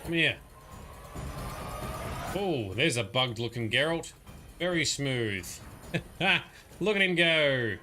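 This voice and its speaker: Chatty, swearing streamer over game music. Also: male voice, mid-range voice, animated, slow, pauses often. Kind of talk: casual let's play commentary.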